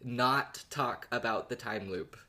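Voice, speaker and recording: A man co-hosting a podcast, chatting casually in a halting, playfully evasive way. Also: mid-range voice, pushed and bright. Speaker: podcast co-host. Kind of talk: casual chat.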